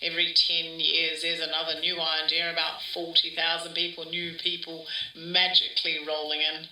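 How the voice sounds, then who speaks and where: A woman's voice: low-pitched, completely flat delivery, normal speed, bright, forceful tone, full character voice. A woman playing an older woman who rambles wistfully through childhood memories in a conversational, interview-style podcast drama. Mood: resigned. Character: wistful older Australian woman reminiscing about her small-town childhood. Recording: roomy echo, distant from mic.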